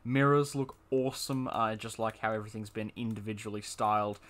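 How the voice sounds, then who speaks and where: A man's voice: lowish voice, fairly even intonation, a little fast, fairly bright tone. A young man giving casual, enthusiastic commentary while reviewing a car in a video game, with lots of fillers and repeated words.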